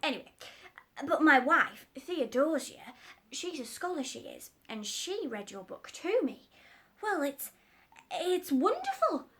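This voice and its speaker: Storybook tradesman: eager, rambling working-class man, high and bright, read by a woman. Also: sing-song, a little slow, heavily acted. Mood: eager, wheedling. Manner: rambling, folksy, stumbles over words. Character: chatty working-class man, cat's meat seller.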